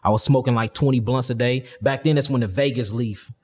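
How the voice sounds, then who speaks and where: A man's voice: mid-range voice, fairly even intonation, a little fast, a little mellow, breathy edge. A man in his thirties from St. Louis, speaking in an impassioned, slangy, explanatory teaching style with preacher-like build-ups. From St. Louis, Missouri, USA. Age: 30s. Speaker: interview guest, health and consciousness teacher. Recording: long hall-like reverb.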